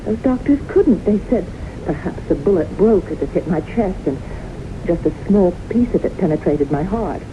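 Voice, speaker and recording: Casting: female lead as solemn haunted widow, deep for a woman, deliberate, earnest radio-drama storytelling. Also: expressive, lightly acted. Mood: earnest, insistent. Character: haunted widow solemnly recounting her brushes with death. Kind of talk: old-time radio drama acting, storytelling. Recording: dull, hissy old radio broadcast.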